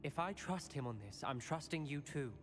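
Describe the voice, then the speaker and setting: A man's voice: a little high, expressive, moderate pace, over music, close to an everyday voice. A young man playing a wary, principled teenage schoolboy wizard in a video game, grave and pleading. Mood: wary resignation. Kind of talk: dramatic video game voice acting.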